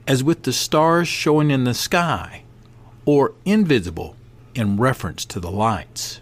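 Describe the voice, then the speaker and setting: A man hosting a podcast, reading a passage aloud and adding his own commentary in a measured way. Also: mid-range voice. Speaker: podcast host.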